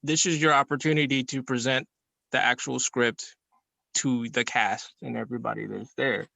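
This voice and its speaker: A man's voice: mid-range voice, fairly even intonation, moderate pace, vocal fry, sharp tone, dry recording, low-quality recording. A man giving his view in a casual group discussion. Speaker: study group participant.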